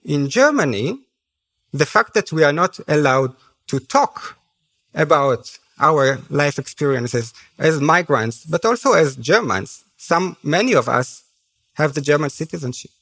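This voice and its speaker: Higher-voiced man with an Israeli accent. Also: animated, unhurried, fairly bright tone, rough recording. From Haifa, Israel. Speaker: panel speaker. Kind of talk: personal public speech at a conference. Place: on stage at a conference.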